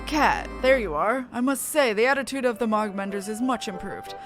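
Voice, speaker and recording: A woman voicing a steadfast, formal noble mentor in a fantasy game, speaking in a measured, exhorting way. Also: fairly high pitch, expressive, over music, natural voice.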